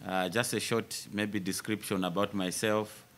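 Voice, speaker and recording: A Zambian military commander in his fifties speaks in a fairly low, forceful voice. He talks formally and deliberately, pausing often with fillers as he briefs the press. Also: little pitch movement. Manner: measured, formal, many filler pauses. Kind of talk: press interview.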